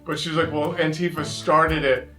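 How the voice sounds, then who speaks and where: A man's voice: fairly high pitch, level tone, moderate pace, a bit bright, faint music behind. A man chatting casually and wryly as a commentator, paraphrasing other people mockingly. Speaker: interviewer and commentator. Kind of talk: casual reaction chat.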